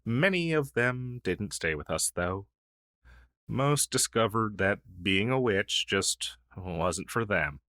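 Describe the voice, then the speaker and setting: A man's voice: fairly low pitch, lively intonation, takes it slowly, pushed and bright, no room sound, clean studio sound, full character voice. A man playing a friendly, down-on-his-luck crow demon who was once a witch's familiar, in a fantasy audio roleplay, speaking earnestly with hesitant stammers. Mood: warm, explanatory.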